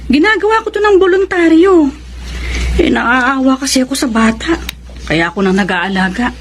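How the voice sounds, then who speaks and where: A woman's voice: high voice, lively intonation, moderate pace, a little hiss or hum. An actress playing a caring, firm, motherly neighbor who minds a baby in a Filipino radio drama.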